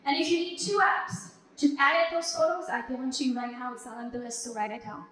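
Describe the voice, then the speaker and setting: A woman with a high voice giving a class direct, practical tips and telling them what to write down. The sound is very echoey, as if captured in a large, reverberant room. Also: steady tone, fast, fairly bright tone. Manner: direct, gives instructions to the audience. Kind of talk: classroom instruction.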